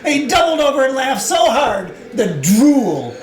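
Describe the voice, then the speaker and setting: A man's voice: very high-pitched, sing-song, unhurried, roomy sound. A man giving a dramatic reading of a novel, often with laughter in his voice. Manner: breaks into laughter while reading. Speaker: reader.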